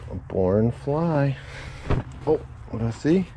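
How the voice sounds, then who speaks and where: Slow-talking man sorting storage unit finds. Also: mid-range voice, expressive. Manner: unhurried, muttering to himself, upbeat when finding items. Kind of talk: casual running commentary while digging. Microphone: head-mounted camera, point of view. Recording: loud background noise.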